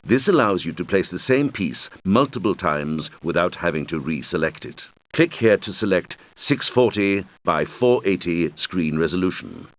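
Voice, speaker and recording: A man's voice: low voice, full character voice. A man voicing a helpful video game guide who gives instructions to young players. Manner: instructional, clearly addressed to children.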